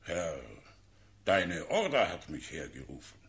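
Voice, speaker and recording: A blunt, loyal old colonel in a classical radio play. His fairly low voice has a hard, forceful edge, and he declaims formally, respectful but insistent. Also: very animated, measured pace, exaggerated voice. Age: older. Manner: deferential yet forceful, declamatory. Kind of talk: German classical verse radio drama.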